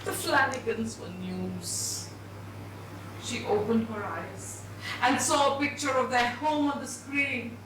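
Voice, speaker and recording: Female narrator for live literary reading: high, bright, forceful voice, dramatic phrasing, heard from afar in a reverberant hall. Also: slow pace. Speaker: reader at a book event. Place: indoor event venue before an audience. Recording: very echoey, distant, faintly noisy hall.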